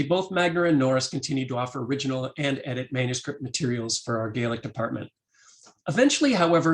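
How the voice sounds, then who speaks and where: A man, an academic lecturer, reading a prepared talk in a measured way and voicing quoted passages. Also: medium pitch. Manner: measured, reads quotations aloud.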